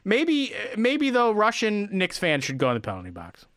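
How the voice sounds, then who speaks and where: A man co-hosting a sports podcast, talking in an animated, rambling way with many restarts. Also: sits high, normal speed, dry recording, clean studio sound. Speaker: podcast co-host. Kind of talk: casual comedic sports chat.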